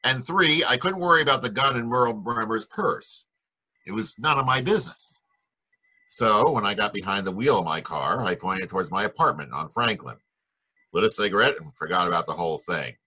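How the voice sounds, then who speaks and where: An actor playing a wry, wisecracking private detective in an old-time radio drama, with clipped, slangy delivery. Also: male voice, on the low side, expressive, a little fast, bright, forceful tone, breathy edge, exaggerated voice, poor audio. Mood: wry narration. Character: wisecracking, hard-boiled male private detective.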